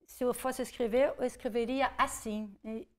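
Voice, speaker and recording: Woman, kinda high voice, thinks out loud and restarts words a lot. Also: fairly even intonation, normal speed. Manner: searching, restarts words, frequent "sabe?". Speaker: literature scholar guest. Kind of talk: reflective interview answer.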